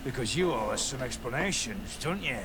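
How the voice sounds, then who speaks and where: An actor playing a menacing gang captain in a video game, haughty, sneering and full of cold contempt. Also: male voice, medium pitch, animated, fairly quick, a loud crowd, natural voice, rough recording. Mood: mock friendly.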